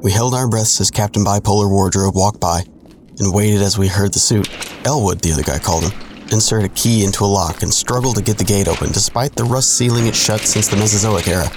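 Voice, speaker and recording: A man's voice: lowish voice, brisk, noisy background, close to an everyday voice. An actor narrating an audio drama in the first person as a wry, sardonic man recalling his misadventures.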